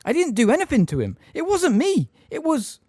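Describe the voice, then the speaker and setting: A man's voice: high voice, huge pitch swings, moderate pace, mellow, no echo, clean studio sound, pitched above the performer's own voice, exaggerated voice. A man voicing a defiant teenage boy who speaks sharply and urgently.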